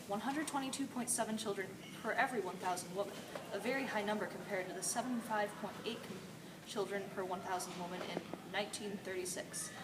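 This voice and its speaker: A woman's voice: medium pitch, background noise. A woman anchoring a newscast, reading scripted news reports as a narrator.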